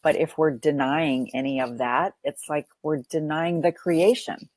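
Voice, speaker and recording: A deep-toned woman counselor talks in an earnest, affirming way. She leans on repetition to build each point. Her voice sits close and dry, with no room sound. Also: measured pace, a little mellow, no room sound. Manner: earnest, repeats phrases while building a point. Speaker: counselor and podcast guest. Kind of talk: podcast interview.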